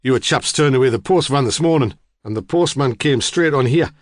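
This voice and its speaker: A man's voice: mid-range voice, a little fast, no echo, clean studio sound, lightly acted. A man voicing a squat, blunt older farmer who talks brusquely and impatiently. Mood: gruff.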